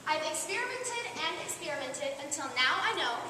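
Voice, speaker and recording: Earnest high-voiced young Eve on stage, played by a woman. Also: big pitch swings, fairly quick. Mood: proudly musing. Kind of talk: stage play monologue, theatrical delivery. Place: amateur stage performance in a large hall. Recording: echoey hall from far off, noisy.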